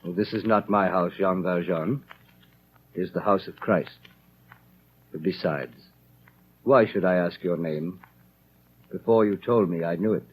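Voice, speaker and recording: An actor playing a kindly, pious old bishop with a gentle, measured, dignified delivery in a 1930s radio drama. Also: male voice, deep voice. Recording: dull, faintly noisy 1930s radio recording.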